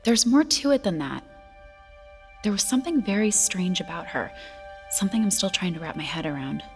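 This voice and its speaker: A woman's voice: lowish voice, lively intonation, moderate pace, soft background music. A woman playing a troubled, inquisitive young noblewoman and grieving daughter in an audio drama, speaking pensively. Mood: brooding.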